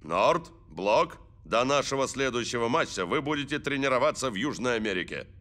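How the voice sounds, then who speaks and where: An actor playing a stern, level-headed middle-aged soccer coach in a cartoon dub. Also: male voice, mid-range voice, sing-song, a little fast, fairly bright tone. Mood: serious, concerned. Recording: background music under the voice.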